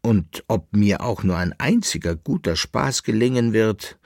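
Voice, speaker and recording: A man voicing a wry, evasive fiddler who hesitates and feigns calm, in an audiobook story. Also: fairly low pitch, measured pace, gravelly, no room sound, studio quality, exaggerated voice. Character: wry, evasive, forgetful male fiddler, resigned yet cheerful.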